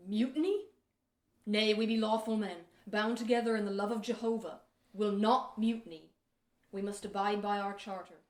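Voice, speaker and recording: A woman's voice: medium pitch, normal speed, natural voice. Woman playing an earnest, plain-spoken Pilgrim man, echoey. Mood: earnest, resigned. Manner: earnest, rustic archaic speech. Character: plain-spoken, dutiful male Pilgrim passenger, aggrieved but law-abiding. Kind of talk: amateur audio theater historical drama acting. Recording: echoey room.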